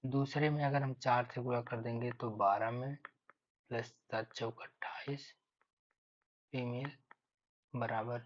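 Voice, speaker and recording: Husky guy doing a maths lesson, thinks out loud step by step, kinda muffled cheap recording. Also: mid-range voice, fairly even intonation, slow pace, long pauses. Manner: instructional, repeats key figures, thinks aloud. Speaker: maths teacher for exam preparation. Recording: dull lo-fi microphone sound.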